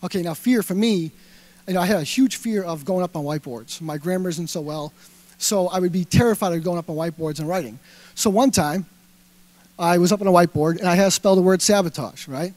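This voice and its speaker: A man with a Boston accent giving a lively stage talk, firing questions at the audience with plenty of fillers. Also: sits high, brisk, no room sound. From Massachusetts. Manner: punchy, rhetorical questions to the crowd, filler words. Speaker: speaker at a live talk event. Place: indoor event hall.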